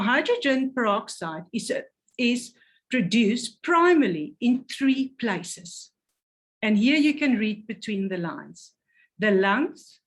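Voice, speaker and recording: A woman presenting a health product, reading carefully and stumbling over technical words. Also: a little high.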